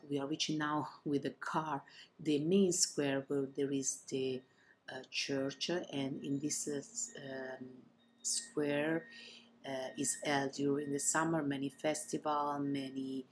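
A woman from Italy with an Italian accent narrates a property tour as an estate agent, in halting non-native English phrasing. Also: sits low, a little mellow, a little hiss or hum, low-quality recording.

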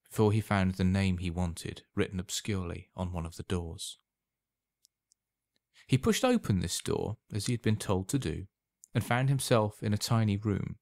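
A man's voice: fairly low pitch, no room sound, clean studio sound. A man reading a scripted short story aloud as a storyteller.

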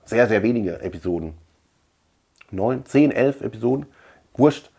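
A man's voice: mid-range voice, animated, measured pace, creaky, a little mellow, slightly rough. A man chatting casually as a video host, rambling colloquially and repeating words for emphasis. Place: small room at home lined with shelves.

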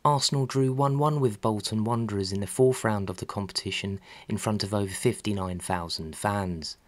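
A man reading scripted documentary narration about sport in a measured, factual way. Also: lowish voice. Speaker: narrator of a football history channel.

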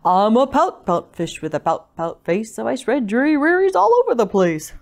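A woman's voice: on the high side, sing-song, normal speed, creaky. A woman voicing a glum, mopey male fish from a children's picture book, in a sing-song rhymed lament. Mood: glum, resigned.

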